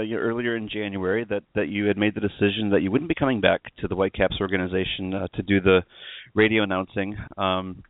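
A man's voice: on the low side, dry recording. A man hosting a podcast and interviewing in a conversational style, over a narrow phone-like line. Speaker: podcast host.

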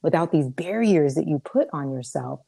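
Woman, raspy, low-pitched, mellow tone, casual podcast co-host, emphatic. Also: lively intonation, moderate pace. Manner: emphatic, preachy about self-growth, with fillers. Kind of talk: casual chat.

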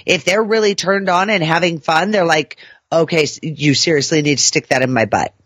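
A woman's voice: low-pitched, a little fast, no room sound, studio quality. A woman co-hosting a casual podcast chat, talking frankly with many hesitations. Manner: frank, relaxed, hesitant fillers. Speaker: podcast co-host.

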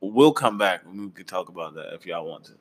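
A young man hosting a casual travel chat, relaxed and slangy, laughing easily. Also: low-pitched, a little fast, a little hiss or hum. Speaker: host.

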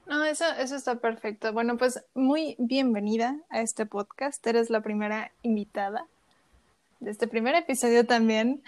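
A woman hosting a podcast, friendly and casual, filling pauses with little words. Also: fairly high pitch, darkish tone. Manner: friendly, uses fillers like "este" and "bueno". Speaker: podcast host.

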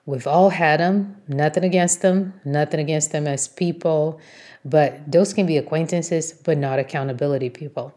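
A woman's voice: deep voice, moderate pace, mellow. A woman whose native language is Portuguese, giving an encouraging motivational talk as a host, in an emphatic, instructive manner. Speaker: podcast host, motivational coach.

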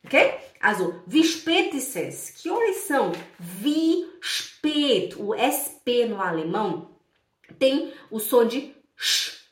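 A woman with a Brazilian accent from Pará giving an informal, chatty language lesson, repeating phrases deliberately and checking in with her listeners. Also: on the high side, sing-song. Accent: Brazilian Portuguese, from Pará. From Belém do Pará, Brazil. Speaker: German language teacher. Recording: echoey room, distant from mic.

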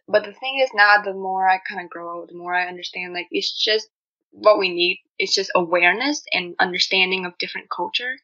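Young woman, Vietnamese accent, bright forceful tone, candid chatty interview guest, muffled lo-fi recording. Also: medium pitch. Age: young adult. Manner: candid, chatty, frequent "like" and "you know". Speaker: podcast guest, marketing student. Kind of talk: casual personal storytelling in an interview.

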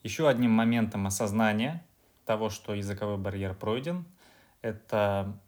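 A man being interviewed as a podcast guest, answering in a measured, explanatory way. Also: on the low side, darkish tone. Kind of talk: casual interview.

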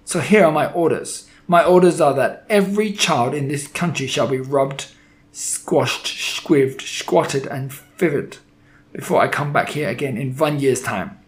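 A man voicing a cruel, furious female Grand High Witch in a children's audiobook, with an imperious, drawn-out, menacing delivery. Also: natural voice. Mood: furious, demanding. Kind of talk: dramatic children's audiobook character voice.

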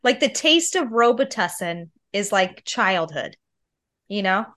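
A woman's voice: medium pitch, lively intonation, unhurried, fairly bright tone. A woman in her thirties co-hosting a podcast, nasal and sick with a cold, chatting in a confiding storyteller way. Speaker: podcast co-host. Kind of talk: casual chat.

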